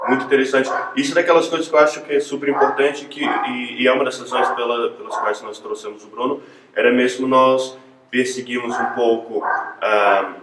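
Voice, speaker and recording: A man's voice: medium pitch, steady tone, fairly quick. A man with a European Portuguese accent interviewing conversationally, repeating words as he forms his thoughts. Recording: echoey room, distant mic, faint noise.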